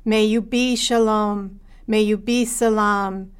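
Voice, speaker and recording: A woman leading a solemn spoken prayer for peace in a measured, rhythmic way. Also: mid-range voice, steady tone, smooth. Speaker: prayer leader.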